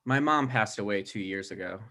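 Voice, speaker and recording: A man's voice: lowish voice, brisk, sharp tone, voice pushed down. A man playing a hesitant, anxious thirty-five-year-old mayoral candidate with a mild manner in a comedy table read. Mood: anxious, sheepish.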